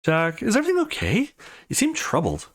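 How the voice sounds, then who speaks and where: A man's voice: high-pitched, huge pitch swings, brisk, a bit bright, voice pushed up, full character voice. A man voicing a concerned, grieving visual novel character in an earnest, sorrowful manner.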